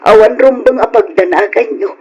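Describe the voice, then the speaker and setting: Husky, worried old grandmother. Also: a little high, moderate pace, a little mellow. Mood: resigned, devout. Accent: Ilocano Filipino. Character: worried, devout elderly grandmother. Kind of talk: old Ilocano radio drama acting. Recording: dull lo-fi radio sound.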